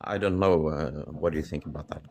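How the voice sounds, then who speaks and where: A nervous man with a Norwegian accent calling into a radio phone-in. He talks in halting English, with many fillers and restarts. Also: a bass voice, steady tone, a little mellow. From Norway. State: shaky with nerves. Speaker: caller.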